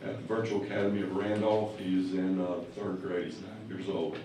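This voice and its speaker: A middle-aged man, a parent, slightly hoarse, speaking plainly in public comment at a school board meeting. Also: low-pitched, level tone, a little fast, noisy background. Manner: plainspoken, asks the board pointed questions. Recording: very echoey room, distant mic.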